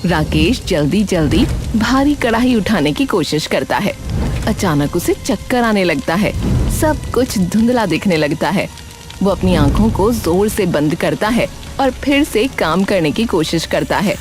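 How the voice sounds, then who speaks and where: A woman's voice: mid-range voice, expressive, measured pace, over music. A woman narrating a scripted cartoon story.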